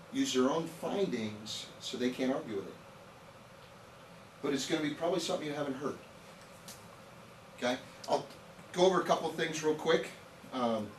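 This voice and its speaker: A man's voice: mid-range voice, a little hiss or hum. Man around 50, casual joking trainer telling stories to a live room, echoey hall, heard from some distance. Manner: chatty, joking, storytelling, rhetorical questions. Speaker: nutrition trainer. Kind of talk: informal lecture to a live audience.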